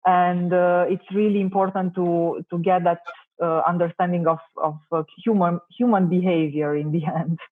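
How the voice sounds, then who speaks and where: A woman's voice: lowish voice, steady tone, normal speed. A woman from Romania, a native Romanian speaker, answering interview questions thoughtfully with many hesitations. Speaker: marketing director, guest. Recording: tinny phone-like call audio.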